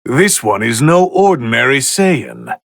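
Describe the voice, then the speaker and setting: An actor playing a haughty, bored, easily irritated god of destruction who gives pompous, theatrical commentary in a fighting video game, with a gravelly voice. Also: male voice, medium pitch, very animated, measured pace, fairly bright tone, dry recording, studio quality, full character voice. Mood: intrigued. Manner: pompous, theatrical, drawling disdain.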